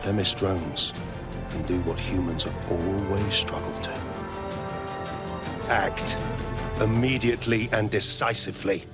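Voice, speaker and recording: Deep-voiced ruthless security boss. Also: male voice, steady tone, over loud music. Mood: grand, assured. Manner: measured, emphatic speechmaking. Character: ruthless, zealous male security company boss, commanding and menacing. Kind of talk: dramatic video game cutscene acting.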